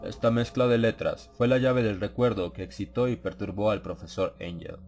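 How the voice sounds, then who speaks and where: A man narrating a dramatized horror audiobook in a measured, solemn storytelling manner. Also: on the low side, steady tone, darkish tone, faint music behind. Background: ambient sound effects.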